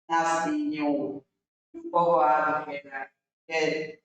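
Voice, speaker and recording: A woman's voice: low-pitched, flat delivery, slow pace, lo-fi sound. A woman from interior Bahia, a city councilor guest telling her story to young people in an earnest, rambling way. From interior of Bahia, Brazil. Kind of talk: casual storytelling to a young audience. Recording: very echoey room, far from mic.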